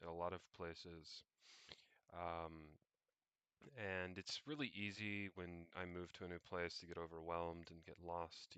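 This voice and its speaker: A young man narrating what he does on screen, unscripted, in a halting, meandering way as he thinks aloud. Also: sits low, pushed and bright, clean tone, dry recording. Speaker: vlogger.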